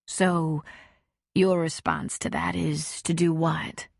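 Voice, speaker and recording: A woman reading as a calm, patient counselor. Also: deep voice, slow, gravelly, dry recording, studio quality, full character voice. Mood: probing. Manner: gentle, probing questions.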